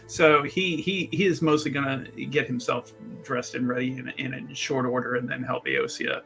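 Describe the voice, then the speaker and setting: A man's voice: mid-range voice, expressive, moderate pace, gravelly, fairly bright tone, faint music behind, low-quality recording. A man casually improvising his character's actions as a player in a tabletop game.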